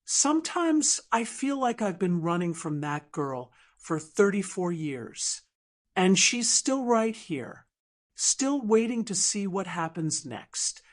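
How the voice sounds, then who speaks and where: A woman plays a proud female executive in her fifties in an audiobook drama. She is firm and guarded, speaking at a medium pitch with measured slowness. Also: big pitch swings. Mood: wistful, searching.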